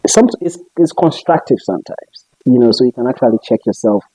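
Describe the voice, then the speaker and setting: A man's voice: mid-range voice, animated, fairly quick, warm tone, breathy edge, no echo, rough recording. A young man from Ghana whose accent mixes Ghanaian and international English, chatting casually as an interviewer and often laughing. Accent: Ghanaian mixed with international English. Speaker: interviewer and web show host.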